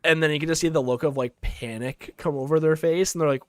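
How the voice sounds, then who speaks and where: A man's voice: fairly high pitch, steady tone, fast, no room sound. A young Canadian man chatting casually on a live game stream, rambling through stories with lots of fillers and reading chat messages aloud. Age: young adult.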